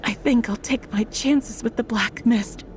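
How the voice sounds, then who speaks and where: A woman's voice: on the high side, a little slow, faint music behind, natural voice. An actress playing a weary, despairing woman who gives up hope and speaks with bitter resignation in a game. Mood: bitter, resigned. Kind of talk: game voice acting.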